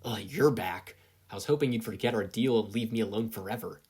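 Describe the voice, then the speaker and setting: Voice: medium pitch, fairly quick, darkish tone, husky. A reader voicing a brash, stubborn teenage boy rival in a story, grumbling with exasperated sarcasm. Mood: grumpy.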